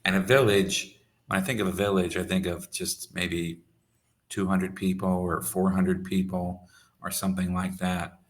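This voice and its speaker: An American man tutoring English over a video call, speaking simply and clearly for a learner. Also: low-pitched, little pitch movement. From United States. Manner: slow, simple phrasing for a learner. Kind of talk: casual conversation lesson. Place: home in Saudi Arabia.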